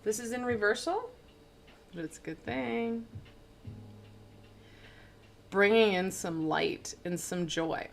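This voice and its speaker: A woman's voice: mid-range voice, expressive, slow pace, creaky, long pauses, slight background noise. Rambling woman giving a tarot reading. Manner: rambling, stumbling, self-deprecating. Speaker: tarot reader. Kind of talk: casual livestream tarot reading. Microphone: plug-in studio microphone.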